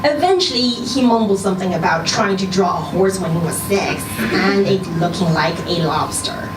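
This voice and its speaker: A woman giving an expressive narration of a scripted short story at a live reading. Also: mid-range voice, normal speed, over music. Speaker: story reader at a live literary event. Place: indoor social venue, live reading event. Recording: roomy live venue, distant mic, noisy background.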